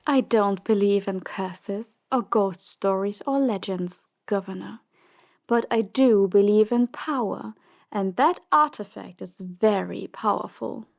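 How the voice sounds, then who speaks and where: A woman playing a commanding, power-hungry crime boss in an audio drama, measured and condescending, with polite menace. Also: mid-range voice, vocal fry. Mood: cold menace. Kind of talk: audio drama roleplay acting.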